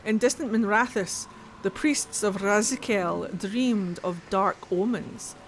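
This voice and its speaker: A woman's voice: mid-range voice, slow, a little hiss or hum, poor audio. A woman with a Scottish accent streaming a game, reading in-game lore aloud and chatting casually with her viewers, swearing freely. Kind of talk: casual gameplay commentary and dramatic codex reading.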